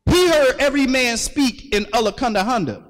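A man's voice: extremely high pitch, expressive, normal speed. A man preaching a sermon, stopping to call for scripture readings and repeating the verses back to the congregation in a large hall. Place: large hall at a religious gathering. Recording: long echo of a large hall.

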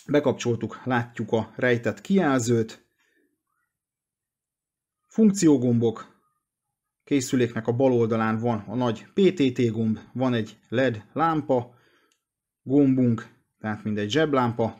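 Male presenter, mid-pitched voice, informal unboxing delivery. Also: a little mellow. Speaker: product presenter. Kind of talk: casual unboxing and product walkthrough.

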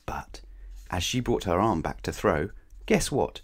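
Deep-voiced man reading a children's story. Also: unhurried, slightly rough. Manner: animated, playful, addresses young listeners directly. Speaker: storybook reader. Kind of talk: expressive scripted children's story read-aloud.

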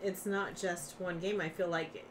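A woman's voice: on the low side, fairly even intonation, measured pace, slight background noise. A middle-aged woman co-hosting a board game live stream in casual conversation. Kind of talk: casual chat. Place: small room lined with board games.

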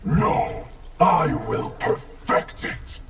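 An actor playing a cold, vengeful undead ninja in a fighting game, with a gravelly, distorted growl and threatening delivery. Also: male voice, very slow. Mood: menacing. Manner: threatening, declamatory. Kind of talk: fighting game character dialogue. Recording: loud music behind.

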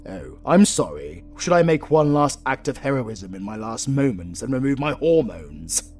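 A man's voice: mid-range voice, sing-song, a little mellow, faint music behind. A British man playing a flirtatious, sardonic supervillain in a romantic comedy audio roleplay, drawling and teasing theatrically. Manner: slow, drawling, teasing, dramatic.

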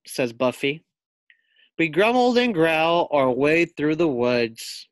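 A man reading a children's picture book aloud as a teacher, expressively, pausing to put questions to his young listeners. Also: mid-range voice, a bit bright, no echo.

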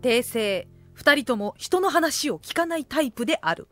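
A woman's voice: high-pitched, lively intonation, normal speed, fairly bright tone. A woman playing a wry narrator who makes dry asides in a comedic audio drama. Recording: music behind the voice.

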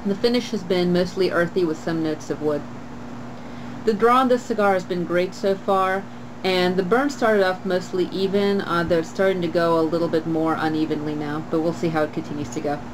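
A woman in her thirties reviewing a cigar to camera on a porch, in a calm, measured way with frequent pauses. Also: lowish voice, noisy background. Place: screened porch outdoors, trees behind.

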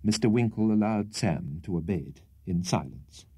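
A man's voice: lowish voice, animated, normal speed, dark tone, slightly rough. A British man reading a literary audiobook as storyteller, in a precise, theatrical manner. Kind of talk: scripted literary audiobook narration.

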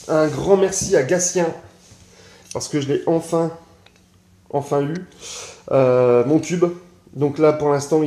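A man's voice: medium pitch, darkish tone. A man in his forties, vlogging on a phone camera in a small indoor room, chatty and hesitant with frequent fillers. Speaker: survival gear vlogger. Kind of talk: casual show-and-tell vlog. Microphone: phone camera at arm's length. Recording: echoey room sound.

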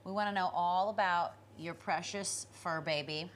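A woman's voice: fairly low pitch, fairly even intonation, normal speed, slight background noise. A woman in her thirties co-hosting a TV studio show, playful and teasing in her banter. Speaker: TV co-host. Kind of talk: lively TV host banter.

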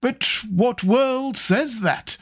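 A man voicing a witty, cynical aristocratic gentleman with a languid drawl. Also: very high-pitched, extremely slow, voice pushed up, full character voice. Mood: mocking.

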